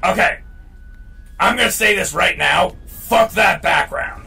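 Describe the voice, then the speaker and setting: Creaky, bright-voiced male gamer. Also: high voice, expressive, unhurried. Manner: opinionated, sweary, emphatic. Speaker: gaming show host. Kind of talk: casual gameplay commentary. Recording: distant mic, game music underneath.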